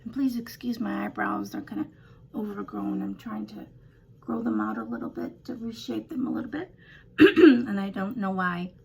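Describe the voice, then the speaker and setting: An older woman with a slightly raspy voice, chatting casually through a home makeup tutorial with rambling, self-deprecating asides. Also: mid-range voice, normal speed, slight background noise. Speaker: beauty vlogger.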